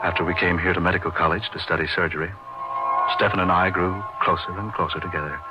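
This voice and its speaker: An actor playing an earnest, awestruck young doctor telling a dark tale in a theatrical, emphatic old-time radio style. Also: male voice, sits low, fairly even intonation, quick pace, loud music behind the voice, natural voice. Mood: awed, ominous. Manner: theatrical, florid, emphatic delivery. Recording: muffled radio drama recording.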